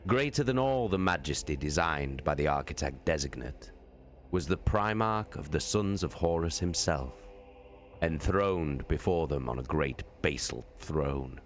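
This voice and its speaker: Man, very deep voice, slow deliberate reading, dramatic live stream narration. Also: animated, a little hiss or hum. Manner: slow, deliberate, drawn-out phrasing. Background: faint background music.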